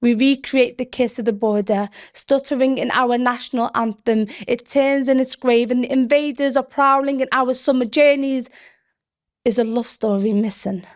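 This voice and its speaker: A woman poet from Britain giving a spoken-word reading, delivered in deliberate, expressive, measured phrases. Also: a little high. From Britain, of Yemeni family.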